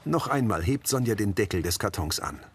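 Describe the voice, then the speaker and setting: Male audio-description narrator, low voice, lively intonation, scripted delivery. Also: a little fast, noisy background.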